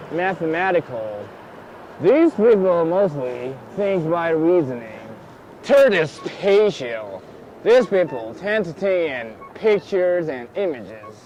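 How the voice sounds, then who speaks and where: A man's voice: on the high side, a little mellow, muffled recording, slight background noise. A man interviewed on the street, working steadily through a list and explaining each item. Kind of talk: listing and explaining types.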